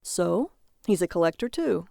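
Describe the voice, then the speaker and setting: A woman's voice: on the low side, big pitch swings, normal speed, creaky, dark tone, no echo, clean studio sound. A woman voicing a sheepish, defensive husband who insists on his hobby, in an audiobook story. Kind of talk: acted dialogue in audiobook short story.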